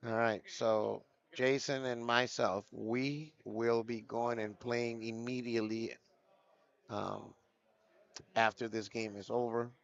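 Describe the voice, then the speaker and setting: A man's voice: medium pitch, steady tone, slow, creaky, lo-fi sound. A man commentating a live golf video game tournament, chatting loosely and reading names from the chat.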